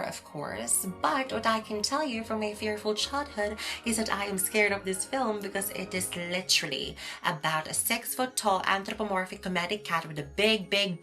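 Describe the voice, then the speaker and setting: A woman acts out an excitable, easily exasperated young friend in a comedic audio drama. Her mid-pitched voice is theatrical, built for playful bickering. Faint music runs behind the dialogue. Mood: incredulous teasing. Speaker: podcast host and performer.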